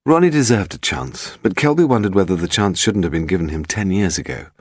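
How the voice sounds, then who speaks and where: A man's voice: deep voice, lively intonation, fairly quick, dry recording, clean studio sound. A man with a British accent reading a story as a scripted audiobook narrator.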